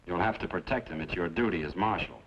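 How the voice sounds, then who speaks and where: A dull vintage radio recording with clear noise. a sober western townsman with a lowish voice, steady and serious in radio-drama style. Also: fast. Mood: grave. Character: sober, steady frontier townsman urging duty. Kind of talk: old-time radio western acting. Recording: muffled, noisy old radio recording.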